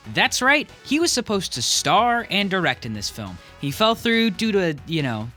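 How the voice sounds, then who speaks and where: A man's voice: sits high, pushed and bright. A young man narrating a comedic video essay in a wry, punchy list-by-list delivery.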